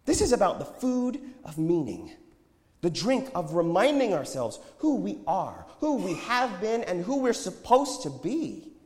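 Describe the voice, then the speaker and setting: A man's voice: sits high, big pitch swings, measured pace, a little mellow, pitched above the performer's own voice. A man playing a prophet who preaches with tender yet challenging, pleading conviction in a dramatized scripture story. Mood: impassioned, pleading. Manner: declamatory, pleading, pointed. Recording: echoey hall with long reverb.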